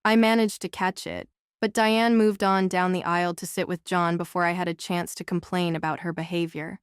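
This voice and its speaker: A woman's voice: lowish voice, level tone, normal speed, clean tone, dry recording, clean studio sound. A woman narrating a novel as a scripted audiobook.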